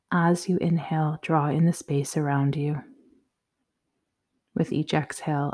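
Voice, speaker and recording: A woman guiding a yoga nidra meditation in a soothing, measured, softly spoken way. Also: sits low. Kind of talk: guided meditation, slow instructions.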